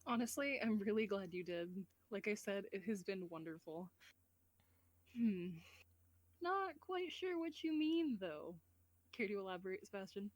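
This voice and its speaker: A woman's voice: mid-range voice, animated, moderate pace. A woman talking casually as a guest voice actor in an outro chat.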